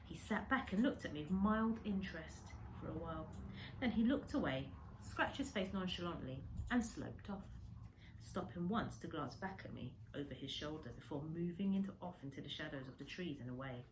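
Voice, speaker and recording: Low-voiced woman reading a story aloud. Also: animated, normal speed, background noise. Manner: dramatic emphasis on descriptive words. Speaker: primary school teacher reading aloud. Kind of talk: expressive children's storybook reading.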